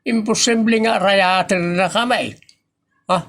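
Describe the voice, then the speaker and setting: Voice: medium pitch, steady tone, a little slow, fairly bright tone. A performer with an Ilocano accent playing a nagging, moralizing villager in a comedy audio drama, speaking in an admonishing way. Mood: reproachful.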